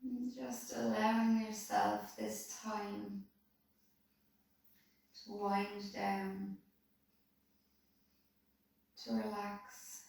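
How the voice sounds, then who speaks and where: Slow, gravelly woman guiding meditation. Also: mid-range voice. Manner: calm, soothing, unhurried instructions. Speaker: meditation guide. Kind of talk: guided body-scan meditation. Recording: very echoey room, voice distant from mic.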